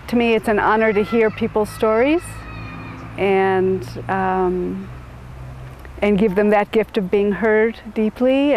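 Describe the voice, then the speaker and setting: A middle-aged woman from suburban Chicago, answering interview questions in a thoughtful, halting way with many restarts. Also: mid-range voice, darkish tone, a little hiss or hum. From suburban Chicago, USA.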